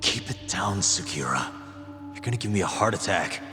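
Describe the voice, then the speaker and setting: A man's voice: deep voice, lively intonation, normal speed. A man playing a level-headed, resolute investigator in a video game cutscene, casual and matter-of-fact. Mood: irritated, uneasy. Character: level-headed, resolute male investigator, adult, quick-thinking. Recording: long reverb, music behind.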